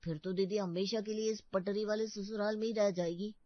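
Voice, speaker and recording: Woman plays young brother in a cartoon tale, earnest, indignant, mid-pitched, dry dulled sound. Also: fairly even intonation, moderate pace, no room sound, muffled recording, lightly acted.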